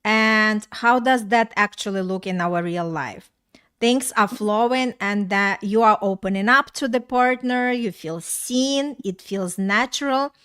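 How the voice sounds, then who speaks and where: Woman host on a relationship podcast, smooth clear voice, calm and thoughtful. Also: mid-range voice, measured pace. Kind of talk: hosting a relationship talk.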